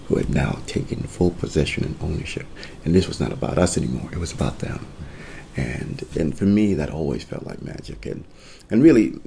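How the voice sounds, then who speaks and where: A man raised in Italy tells his life story as a podcast guest, thoughtful and rambling. His voice is very deep, gravelly and dark. At times it drops to a soft near-whisper. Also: a little hiss or hum. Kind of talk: reflective storytelling.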